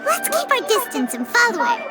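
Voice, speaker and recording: A woman's voice: very high-pitched, huge pitch swings, a little fast, full character voice. A woman voicing a tiny, chatty floating companion creature in a video game who calls herself by name instead of saying I, eager and exclamatory, with game music behind. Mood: puzzled. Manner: talks about herself in the third person. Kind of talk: video game cutscene dialogue. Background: loud game soundtrack music.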